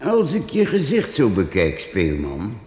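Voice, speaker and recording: An actor playing a kindly, excitable priest in a radio play, often exclaiming in surprise. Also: male voice, on the low side, unhurried, husky, big-room sound, exaggerated voice. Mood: indignant. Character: kindly fussy middle-aged male priest. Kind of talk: radio drama acting.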